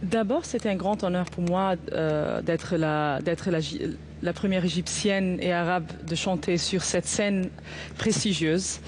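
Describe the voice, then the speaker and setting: A woman's voice: fairly low pitch, a little slow, darkish tone. A female opera singer talks as a studio guest, modest and friendly. A faint hum sits behind her voice. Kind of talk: studio interview.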